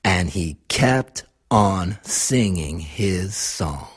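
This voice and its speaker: Slow, low-voiced woman reading a storybook. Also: slightly rough. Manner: expressive, deliberate, asks listeners questions. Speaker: storybook reader. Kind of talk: children's read-aloud narration.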